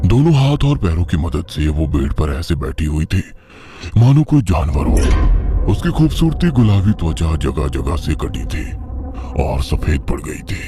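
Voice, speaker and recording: A man's voice: extremely low pitch, very animated, measured pace. A man narrating a scripted horror story in a dramatic, suspenseful way. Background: background music.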